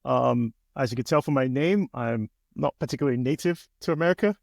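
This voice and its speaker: A man from Nigeria, in his forties, tells his story as a podcast guest. His voice has a gravelly edge and a middle pitch. He talks in a relaxed, chatty way, recorded close and dry. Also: a little fast, no room sound. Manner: relaxed, chatty, frequent "um" and "you know". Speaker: guest, business owner. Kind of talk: casual interview storytelling.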